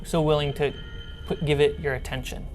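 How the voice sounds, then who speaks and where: Woman, very low pitch, game master, descriptive horror narration, casual asides, pauses, prominent music behind. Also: steady tone, darkish tone, low-quality recording.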